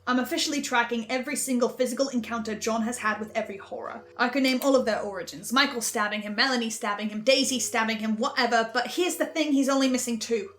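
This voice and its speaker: A woman hosting a recap, delivering a dramatic investigative monologue in a pensive way with deliberate pauses. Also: a little high. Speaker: host of a fan recap series. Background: music.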